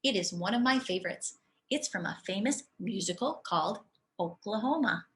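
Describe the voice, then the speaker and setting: A woman's voice: medium pitch, lively intonation, moderate pace, close to an everyday voice. A woman reading the part of a friendly, cultured man who plays the flute, enthusiastic and courteous, in a children's storybook. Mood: delighted, friendly. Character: friendly adult male flute player, cultured and encouraging.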